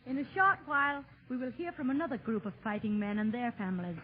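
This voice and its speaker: A woman with a high voice makes a formal radio introduction in 1940s style. The recording is tinny and very narrow. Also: expressive, fast talker. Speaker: radio show presenter.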